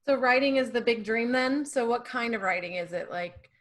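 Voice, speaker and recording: A woman co-hosts a podcast and asks informal, curious questions. Her voice sits a little high. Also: normal speed, a bit bright. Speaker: podcast co-host. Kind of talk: casual podcast interview.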